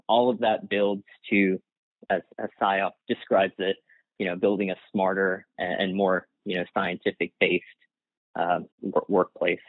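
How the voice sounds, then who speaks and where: A man's voice: sits low, no echo. Man on a tinny phone-like line. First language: Gujarati. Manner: hesitant, many restarts and fillers, laughs lightly. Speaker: guest, workplace psychologist. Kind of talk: casual interview answers.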